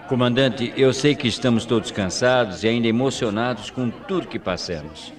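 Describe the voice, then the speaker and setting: A man's voice: medium pitch, normal speed, a crowd in the background, natural voice. A Brazilian man playing a respectful, curious airline crew member in a radio drama, articulate and deferential in his speech. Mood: respectful curiosity. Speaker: radio actor. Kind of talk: 1990s radio drama acting.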